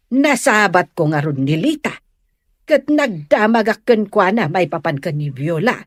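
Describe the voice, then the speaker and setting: A woman's voice: mid-range voice, lively intonation, no echo, studio quality. A woman playing a nosy neighbourhood gossip who teases and needles in a comedy audio drama. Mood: teasing. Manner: drawn-out, needling.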